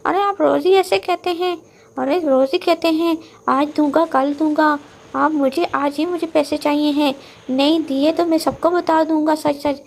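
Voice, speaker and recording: A woman's voice: high-pitched, fairly even intonation, normal speed, pitched above the performer's own voice. A woman voicing a greedy, impatient male crow henchman in a children's cartoon, with an eager, nagging manner.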